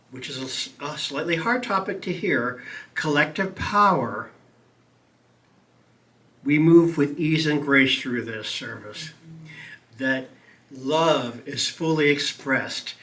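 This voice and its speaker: A man as the day's practitioner and speaker, leading a spoken prayer in an earnest, measured cadence. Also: medium pitch, bright, forceful tone, recorded from a distance. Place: church meeting room.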